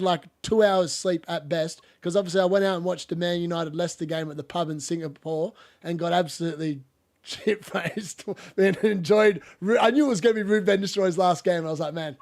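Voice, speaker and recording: A man's voice: high-pitched, fairly quick, a little mellow. Chatty man with a cough. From Australia. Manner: rambling, laughs often. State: lingering cough. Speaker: podcast host. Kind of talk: casual football chat.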